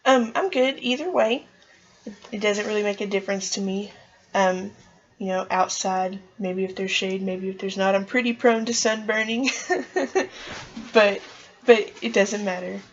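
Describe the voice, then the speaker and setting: A woman's voice: mid-range voice, steady tone, normal speed, lo-fi sound, slight background noise. A young woman chatting eagerly and casually as an applicant answering questions in a job interview. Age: young adult.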